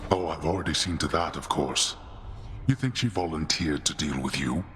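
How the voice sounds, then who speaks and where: A man's voice: rumbling low, normal speed, a bit bright. An actor playing a stern, scornful father god of the underworld in a video game, speaking in a pompous, commanding way.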